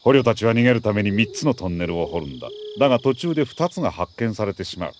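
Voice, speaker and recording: An actor playing a middle-aged army major who briefs an agent in a crisp, authoritative, deliberate way in a video game. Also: male voice, on the low side, big pitch swings, a little mellow, slight background noise. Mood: sheepish, earnest. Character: male military major, mission commander, precise and earnest. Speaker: mission commander briefing an agent.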